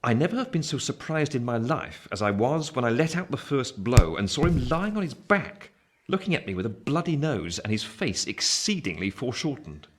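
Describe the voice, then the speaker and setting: An actor playing a grown man recalling his boyhood, narrating a literary radio play in a measured, wry way. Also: mid-range voice, very animated, natural voice. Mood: animated, wry. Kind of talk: scripted first-person storybook narration.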